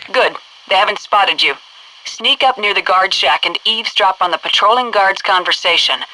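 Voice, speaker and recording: An American woman playing a brisk military headquarters commander in a video game, giving crisp, authoritative mission instructions. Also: medium pitch, sharp tone, a little hiss or hum, natural voice, rough recording. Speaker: mission control radio dispatcher and tutorial guide.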